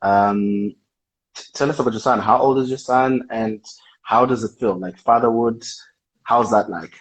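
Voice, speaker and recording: A man interviewing a guest over a video call, soft-spoken and giving frequent murmured acknowledgements. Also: mid-range voice, fairly even intonation, normal speed, husky, rough recording. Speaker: interviewer, magazine online editor.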